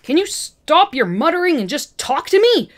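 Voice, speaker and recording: A woman's voice: sits high, sing-song, moderate pace, voice pushed up. A woman playing an embattled young male superhero, urgent, defiant and protective, in a story reading. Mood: frustrated. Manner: snarling, urgent.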